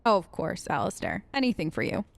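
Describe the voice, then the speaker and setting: A woman's voice: lowish voice, very animated, a little fast, creaky. A woman hosting a role-play let's play, chatty and playful, talking close to the microphone. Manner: playful, bantering. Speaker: gaming video host.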